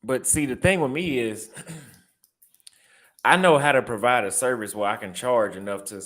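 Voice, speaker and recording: A man's voice: mid-range voice, measured pace, a bit bright. A young man with a Texas accent, chatting casually in a vlog and reacting aloud as he browses apartment listings, repeating his phrases. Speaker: vlogger and barber.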